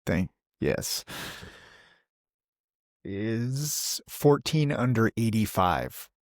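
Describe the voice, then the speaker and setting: Low-voiced man playing a tabletop game. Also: sing-song, very slow, no room sound, clean studio sound. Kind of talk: casual game-table chat.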